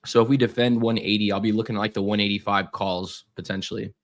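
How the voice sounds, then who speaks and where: A man's voice: lowish voice, steady tone, quick pace. A young man losing his voice, running a casual live trading stream with lots of fillers and repeated words.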